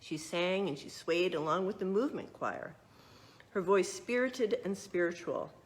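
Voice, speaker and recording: A woman's voice: on the low side, expressive, a little slow. A woman reading a picture book aloud expressively, adding explanatory asides and questions for young listeners. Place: museum exhibit space.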